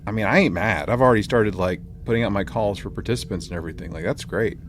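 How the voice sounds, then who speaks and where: Low-voiced man in noisy casual podcast chat. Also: fast talker. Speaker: podcast host. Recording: clearly noisy room ambience.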